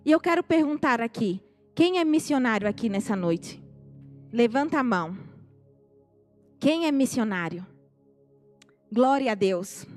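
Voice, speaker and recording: High-voiced Northeastern Brazilian woman preaching. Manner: animated, addresses the congregation directly. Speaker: missionary speaker. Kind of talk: testimony sermon. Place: church sanctuary. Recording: live church recording, faint music.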